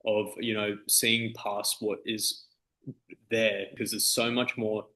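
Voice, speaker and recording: A man's voice: lowish voice, level tone, slow pace, sharp tone, rough recording. A man, a DJ, chatting casually in an interview with loose, easygoing phrasing and plenty of fillers. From Australia. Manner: easygoing, loose, full of fillers like "you know" and "like". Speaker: DJ and guest.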